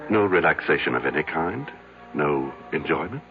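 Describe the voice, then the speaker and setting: A thin, old radio recording carries an attentive doctor. His low voice moves up and down freely as he gently probes his patient in brief questions, as in a 1970s radio play. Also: lively intonation, normal speed, phone-quality sound, faint music behind. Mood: probing. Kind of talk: 1970s radio drama acting.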